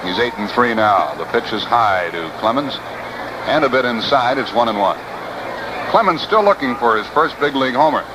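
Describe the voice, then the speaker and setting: A man's voice: mid-range voice, moderate pace. Male baseball play-by-play announcer, American accent, mid-range voice, live commentary, noisy 1960s AM radio recording. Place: outdoor ballpark broadcast booth. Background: steady noise and outdoor ambience.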